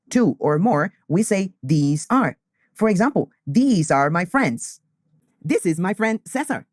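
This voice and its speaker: A man, teaching beginner English and repeating key phrases slowly. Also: high-pitched, darkish tone, dry recording, clean studio sound. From Colombia. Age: 20s.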